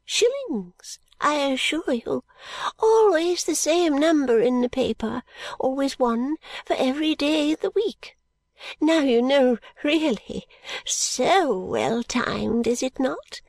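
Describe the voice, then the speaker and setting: Fluttery eccentric old lady, high and slow, genteel and nervous, as a woman reader puts her on. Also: expressive, a little mellow, no room sound, exaggerated voice. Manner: high, slow, stately and confiding, drops to whispers.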